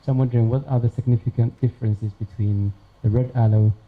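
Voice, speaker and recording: A man's voice: medium pitch, fairly even intonation, fast. An audience member, a man, asking a question in a polite, hesitant way. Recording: tinny phone-like sound, faint noise.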